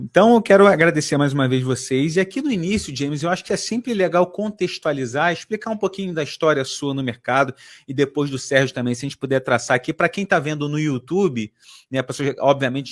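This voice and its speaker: Dude hosting a podcast, mid pitch, teasing his guests, easy banter. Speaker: podcast host.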